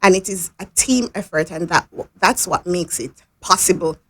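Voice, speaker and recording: A woman giving measured, earnest, unscripted answers as a school principal interviewed in a studio. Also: lowish voice.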